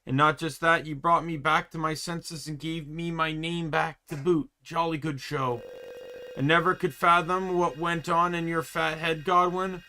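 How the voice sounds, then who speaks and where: A man's voice: on the high side, level tone, unhurried, bright, forceful tone, slight background noise. A man voicing a pompous, blustering old king and exasperated father in a video game, with old-fashioned exclamations. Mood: gruffly pleased, scornful.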